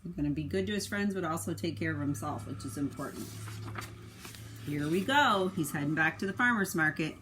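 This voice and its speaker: A woman's voice: low-pitched, a little fast, a bit bright, a little hiss or hum. A woman speaking as a school librarian, giving simple, casual explanations of a picture book for children. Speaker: school librarian reading aloud.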